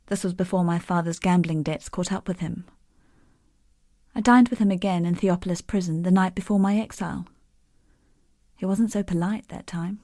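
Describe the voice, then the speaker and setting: Fantasy game NPC: proud, world-weary exiled woman played by an actress, dark mellow voice, wry, grieving undertone, dry studio sound. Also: lowish voice, no room sound, lightly acted. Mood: reflective. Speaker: non-player character in a fantasy action game. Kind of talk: scripted dramatic game dialogue.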